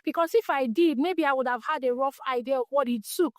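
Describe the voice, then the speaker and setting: Woman, high tiny voice, Nigerian English accent, earnest and encouraging, dry close sound. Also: a little fast, no room sound. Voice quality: small, light voice. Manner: earnest, faith-centered, encouraging. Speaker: podcast host. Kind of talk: reflective solo podcast talk.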